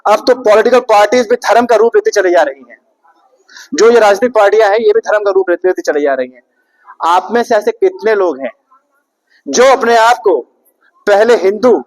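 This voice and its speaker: A man's voice: high voice, poor audio. A young man giving a public speech to an audience, rhetorical and repetitive, putting questions to the listeners. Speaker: speaker at an Independence Day event.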